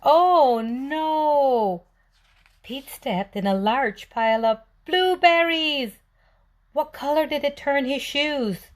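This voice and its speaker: A woman's voice: high voice, expressive, slow. A woman reading a storybook aloud for young children, expressively asking questions and answering them. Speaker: children's read-aloud presenter.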